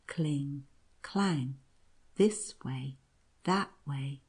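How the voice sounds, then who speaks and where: A woman voicing an odd old godfather who chants a monotonous rhyme in a hushed, grating way. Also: low-pitched, slightly rough, exaggerated voice.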